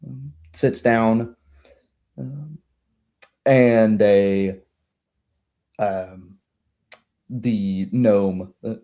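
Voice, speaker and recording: A man narrating an improvised tabletop game as game master, in a halting, hesitant way. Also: fairly low pitch, dark tone.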